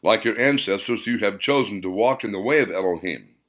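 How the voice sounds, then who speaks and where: A man's voice: fairly low pitch, fairly quick, a bit bright, close to an everyday voice. A man voicing a solemn, otherworldly male spirit in an audiobook, speaking formally and reverently. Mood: solemn prophecy. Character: solemn male spirit voice inside a wave of energy.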